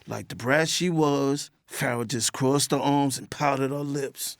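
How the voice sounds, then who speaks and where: A man's voice: medium pitch, unhurried, no echo, studio quality, close to an everyday voice. A man acting a possessive, flirtatious boss and lover in an audio drama, with informal slangy delivery. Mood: reflective. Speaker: narrator and lead character. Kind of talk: audio drama with first-person narration. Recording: music behind.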